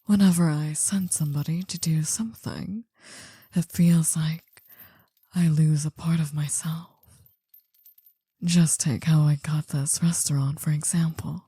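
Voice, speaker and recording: A woman playing an older female mafia boss in an intimate ASMR roleplay, possessive, doting and soothing, in a breathy half-whisper. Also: sits low, steady tone, warm tone, no echo, full character voice. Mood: weary, confiding. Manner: slow, soothing, coaxing.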